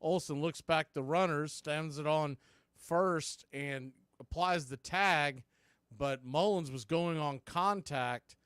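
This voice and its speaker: Male baseball announcer, slightly high pitch, smooth clear voice, clipped play-by-play phrasing, dry close sound. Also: slow, fairly bright tone, no room sound. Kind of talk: live play-by-play sports commentary. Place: indoors, calling the game from a video feed.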